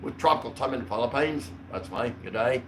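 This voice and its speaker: A man's voice: mid-range voice, animated, fairly quick, a bit bright, slight background noise, low-quality recording. An older man hosting a casual livestream, rambling along in a jokey, mildly profane way. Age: older, around 60. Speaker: livestream host. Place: small curtained room indoors.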